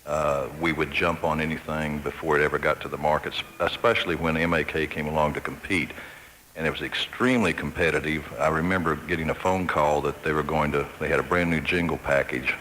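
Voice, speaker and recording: A man's voice: extremely low pitch, steady tone, a little fast, fairly bright tone. A middle-aged man reminiscing as a guest in a radio interview, telling it matter-of-factly. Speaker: interview guest, ad agency head. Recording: faintly noisy radio aircheck.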